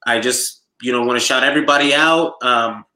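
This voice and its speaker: Laid-back man chatting, bright echoey voice. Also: medium pitch, brisk. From United States, Nigerian heritage. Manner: laid-back, filler-heavy, enthusiastic. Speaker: supporter group DJ and show regular. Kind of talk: casual podcast chat.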